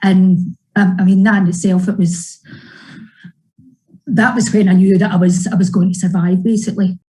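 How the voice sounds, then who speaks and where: A woman in her fifties speaking hesitantly and slowly in a news interview. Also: mid-range voice, fairly even intonation, dark tone, poor audio. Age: 50s. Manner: hesitant, slow with pauses. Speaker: patient.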